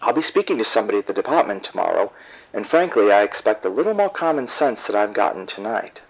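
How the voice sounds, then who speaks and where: A man's voice: fairly low pitch, big pitch swings, quick pace, creaky, husky, full character voice. An actor playing an irritated, proud husband and father who speaks curtly and defensively to the police over the phone. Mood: exasperated. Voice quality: curt, defensive. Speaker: caller. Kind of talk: audio drama acting. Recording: dull phone line.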